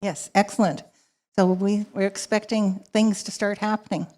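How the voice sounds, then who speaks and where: A woman moderating a panel with a handheld mic in a ballroom, putting questions briskly and in a friendly way. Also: mid-range voice, steady tone, warm tone. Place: hotel ballroom stage.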